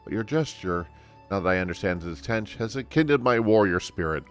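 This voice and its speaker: A man voicing a proud, blustering guard lancer from a fantasy game with a stiff, gruff manner. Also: sits low, expressive, fairly quick, faint music behind. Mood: blustering, earnest.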